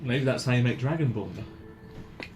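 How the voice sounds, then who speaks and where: A man's voice: mid-range voice, a little slow, darkish tone. A man chatting casually with fellow players during a tabletop role-playing game. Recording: clear music behind the voice. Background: background music.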